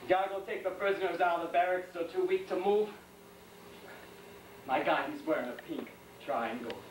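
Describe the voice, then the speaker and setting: A man playing a shaken young American World War II soldier in a dramatic stage monologue, speaking in plain, halting, intense colloquial speech. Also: high-pitched, natural voice. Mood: grim. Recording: echoey room, distant mic, faint noise.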